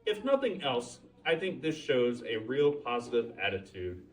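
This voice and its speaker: Man as storybook moose: earnest, chatty, awkwardly hopeful, lively intonation, heard from a distance. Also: mid-range voice, moderate pace, close to an everyday voice. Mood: sheepish, hopeful. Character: earnest, eager male moose hoping to be a reindeer.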